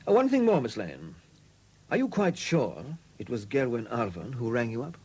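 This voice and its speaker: An actor playing a shrewd, middle-aged chief superintendent in a 1970s radio drama, measured, probing and dryly courteous. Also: male voice, mid-range voice, big pitch swings, darkish tone, slightly rough. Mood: probing, pressing. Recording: hissy old 1970s radio broadcast recording.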